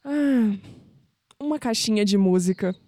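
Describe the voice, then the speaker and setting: A woman's voice: medium pitch, expressive, unhurried, dark tone. An actress playing a young, skeptical and searching Brazilian woman in an audio drama. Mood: vulnerable.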